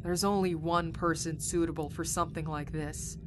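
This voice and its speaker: A woman's voice: deep voice, fairly even intonation, normal speed, background noise, close to an everyday voice. A woman playing a confident, dutiful military science officer, speaking formally and precisely in a sci-fi drama. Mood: matter-of-fact. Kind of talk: sci-fi anime parody audio drama acting. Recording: music under the voice.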